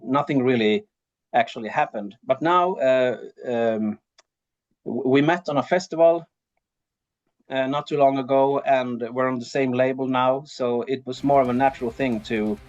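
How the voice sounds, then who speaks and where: A man from Sweden giving casual, halting interview answers as a band's drummer. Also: mid-range voice, darkish tone, highs cut off. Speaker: band drummer being interviewed.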